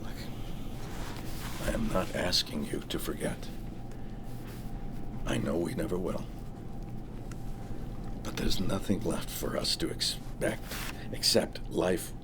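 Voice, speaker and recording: A man's voice: low voice, slow pace, pauses often. A man acting the part of a controlling, persuasive middle-aged father and former drug boss, insistent and repeating himself, at a table read. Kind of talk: acted script at a table read. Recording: noisy room recording.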